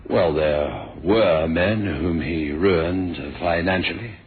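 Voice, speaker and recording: An actor playing a nervous, deferential secretary in a radio drama, formal and obliging with a hesitant delivery. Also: male voice, deep voice, takes it slowly. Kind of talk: 1970s radio drama acting. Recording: tinny, band-limited old radio recording.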